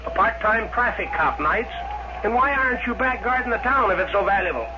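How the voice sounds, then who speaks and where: Bossy folksy desert dad, high-pitched. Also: male voice, lively intonation, fairly quick. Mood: folksy teasing. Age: middle-aged. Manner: folksy rural grammar, needling and bossy. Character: greedy, bossy, folksy father running a desert gas stop. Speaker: actor in a radio drama. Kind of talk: 1950s radio acting. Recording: muffled noisy vintage radio recording.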